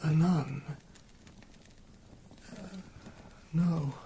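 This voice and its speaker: Weak, halting wounded monk. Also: male voice, on the high side, vocal fry, dark tone, a little hiss or hum, heavily acted. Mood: confused, distressed. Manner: halting, faint, distressed. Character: wounded, confused monk, anxious, with lost memory. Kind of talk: radio drama acting.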